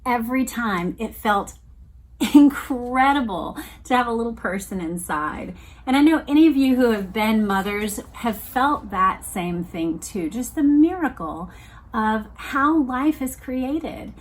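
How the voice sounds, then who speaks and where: A middle-aged woman hosting a podcast, speaking in a measured, deliberate way as she reads aloud and reflects. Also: fairly high pitch, expressive. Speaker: podcast host reading a book aloud and commenting. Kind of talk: reflective solo podcast talk mixed with read-aloud passages.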